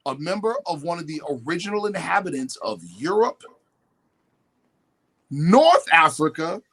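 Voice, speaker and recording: An emphatic man explaining his points in a lively voice that swings widely in pitch. His tone is slightly husky, and he sits a little high for a man. He keeps pressing his points and mimics other people as he talks. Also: a little slow. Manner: animated, emphatic, quotes definitions and mimics others. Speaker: guest speaker. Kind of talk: lecturing talk-show discussion.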